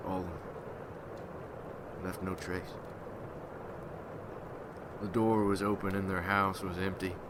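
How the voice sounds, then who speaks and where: A man playing a weary, haunted rural Civil War veteran turned vampire hunter, telling his story slowly and haltingly in an ASMR roleplay. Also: low voice, noisy background. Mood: somber. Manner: slow, halting, somber folksy storytelling.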